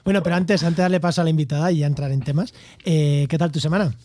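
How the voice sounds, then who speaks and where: A man's voice: on the high side, fairly even intonation, racing, darkish tone. A man from La Mancha with an accent from Spain, co-hosting a podcast in a chatty, joking, informal way. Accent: Spanish from Spain. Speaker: podcast co-host and interviewer. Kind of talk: casual podcast chat.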